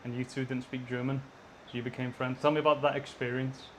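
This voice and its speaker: A man's voice: mid-range voice, little pitch movement, fast, a bit bright, slight background noise, poor audio. A man interviewing a guest, steadily posing and framing questions.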